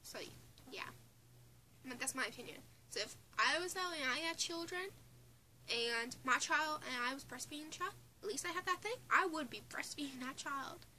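High bright-voiced young Newfoundland woman. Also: lively intonation, normal speed, slight background noise, poor audio. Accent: Newfoundland English. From Newfoundland, Canada. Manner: chatty, rambling, with frequent restarts and giggles. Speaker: vlogger. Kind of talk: casual opinion vlog. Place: small bedroom under a bunk bed, indoors. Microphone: phone or webcam close in front.

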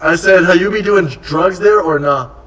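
A young male streamer who talks in loose slang and swears while teasing his guest. His voice sits fairly high and sounds a bit removed from the microphone. He is recorded indoors at a desk setup. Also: normal speed, fairly bright tone, recorded from a distance. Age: young adult. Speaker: streamer host. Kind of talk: casual live-stream chat.

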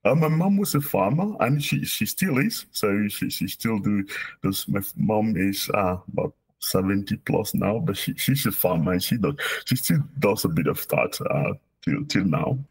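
Husky low-voiced man on a video call. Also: animated, normal speed, rough recording. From Cameroon. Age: 40s. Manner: hesitant, many fillers and restarts. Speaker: guest, operational research professor. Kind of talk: casual interview chat. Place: small office indoors. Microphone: video call webcam.

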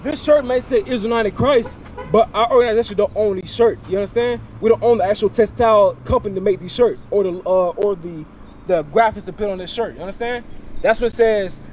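Young man, high voice, emphatic outdoor street preacher on a handheld mic with faint traffic behind. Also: a little fast, a little mellow. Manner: emphatic, questioning, repeats words. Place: outdoors by a parking lot.

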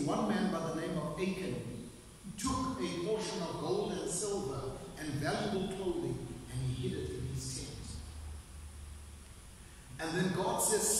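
An older man preaching a sermon from a pulpit, with emphatic pauses that stretch out key phrases. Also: high-pitched, expressive. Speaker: pastor. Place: small church hall with stage and pulpit. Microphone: room mic at a distance. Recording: echoey hall, distant mic, faint hiss.

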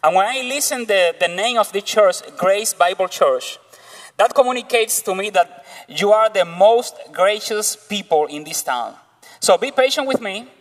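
A man in his thirties with a Cuban accent, preaching as a guest on a church stage with a slow, careful delivery. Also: high voice, lively intonation. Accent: Cuban Spanish. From Cuba. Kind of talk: sermon. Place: church stage, large hall. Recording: long reverb of a church hall.

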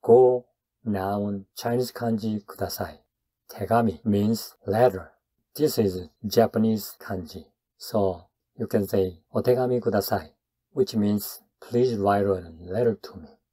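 A man's voice: lowish voice, a little slow, warm tone, breathy edge. A man teaching grammar in a scripted lesson, explaining step by step in short phrases. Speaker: Japanese language teacher.

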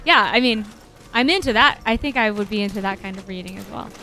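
A woman's voice: mid-range voice, fast, sharp tone. A young woman from the Netherlands, co-hosting a casual gaming stream, chatting and laughing often. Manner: chatty, laughs often, reads out viewer comments. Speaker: livestream co-host.

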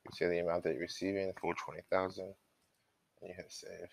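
A man giving an informal step-by-step software walkthrough as a trainer, explaining and thinking aloud as he goes. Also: deep voice, level tone, fast, gravelly.

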